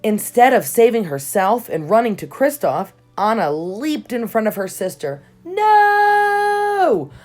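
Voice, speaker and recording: A woman reading a children's storybook aloud in expressive narration, with dramatic emphasis on key words. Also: medium pitch, normal speed, hardly any pauses. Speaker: storybook reader. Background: soft music.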